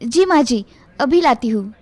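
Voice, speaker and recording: A woman's voice: a little high, lively intonation, unhurried, a little mellow, dry recording. A woman voicing a humble, obedient young working-class bride in a story, speaking politely and deferentially. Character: humble, obedient young flower seller turned daughter-in-law. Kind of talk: Hindi moral story dialogue.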